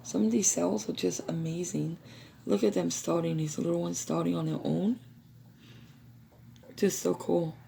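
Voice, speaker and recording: Hoarse, gravelly-voiced woman chatting. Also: on the low side, fairly even intonation, measured pace, long pauses, mellow, a little hiss or hum. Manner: chatty, addresses viewers as you guys. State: hoarse, recovering from lost voice. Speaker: craft video host. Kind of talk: casual how-to demo.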